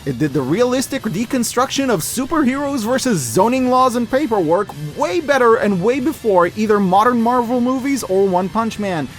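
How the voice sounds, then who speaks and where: A man delivering an opinionated scripted review, emphatic and informal, given to hyperbole. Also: high voice. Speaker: video essayist reviewing media.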